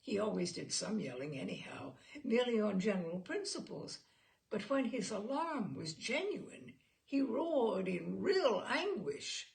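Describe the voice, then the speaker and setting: Woman reading an old humorous story, really animated pitch, bit of creak in the voice. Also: measured pace. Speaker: storyteller reading aloud to an audience. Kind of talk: literary storytelling with informal introduction.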